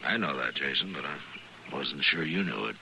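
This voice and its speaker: An actor playing a calm, firm frontier lawman with an American accent, measured and authoritative, in an old-time radio western. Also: extremely low pitch, creaky, full character voice. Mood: measured, wary. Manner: measured, authoritative, plain-spoken. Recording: noisy old radio recording.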